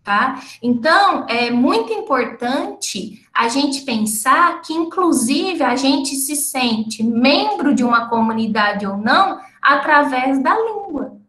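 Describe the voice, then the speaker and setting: A woman's voice: high-pitched, animated, slow pace, few pauses, a bit bright, reverberant. A woman giving an academic lecture to teachers, explaining step by step and often checking in with tag questions. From not from the city of the event. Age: adult with completed schooling. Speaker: lecturer and researcher.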